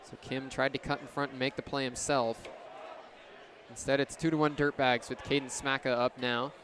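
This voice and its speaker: A man's voice: mid-range voice, little pitch movement, moderate pace, a bit bright. A young man calling baseball play-by-play for radio in short, descriptive phrases. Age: young adult. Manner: clipped phrases, descriptive calls. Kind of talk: live sports commentary. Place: outdoor baseball stadium. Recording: ballpark crowd and outdoor noise. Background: crowd and ambient noise.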